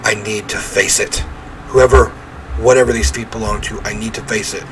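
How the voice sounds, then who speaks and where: A man's voice: lowish voice, fairly even intonation, moderate pace, slight background noise, low-quality recording. A man reading a visual novel aloud as he plays, with theatrical, emphatic narration and offhand reactions.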